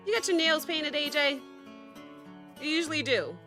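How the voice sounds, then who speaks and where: A woman in her thirties hosting a music reaction, chatting excitably with rambling restarts while still sick with a cough. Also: high-pitched, moderate pace. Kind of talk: casual chat to camera.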